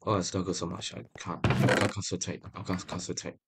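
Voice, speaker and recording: Excitable young man narrating his game. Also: low voice, a little fast. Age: young adult. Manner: excitable, talks to viewers while playing, repeats phrases. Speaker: gaming channel host. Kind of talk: casual gameplay commentary. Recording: loud background noise.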